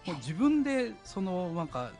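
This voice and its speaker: A man guesting on a live stream, talking casually and effusively, gushing praise and plugs with halting pauses. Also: sits high, big pitch swings, darkish tone, faint music behind. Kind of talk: effusive casual talk and promotion.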